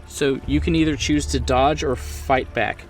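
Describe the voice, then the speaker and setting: A man's voice: mid-range voice, steady tone, unhurried. A man running a horror tabletop roleplaying game as game master, explaining rules and describing scenes off the cuff in a conversational way. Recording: distant mic, clear music behind. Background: music bed.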